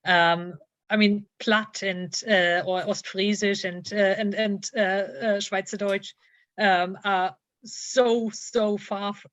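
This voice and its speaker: A woman's voice: mid-range voice, fairly even intonation, slow pace, a bit bright, no echo, dull audio. A woman moderating an online panel, hesitant, with lots of fillers as she frames questions. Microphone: video call.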